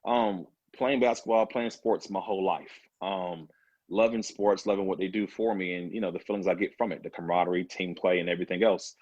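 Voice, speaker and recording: A man's voice: low-pitched, fairly even intonation, brisk, darkish tone, no echo, highs cut off. A man in his thirties, a personal trainer, telling his story as a guest in a casual interview, with many fillers along the way. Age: 30s.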